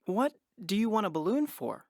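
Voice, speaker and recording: A woman's voice: mid-range voice, sing-song, normal speed, dry recording, studio quality. A woman playing a kind, curious, sensible young boy in a children's audio drama, straightforward and questioning. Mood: curious, friendly.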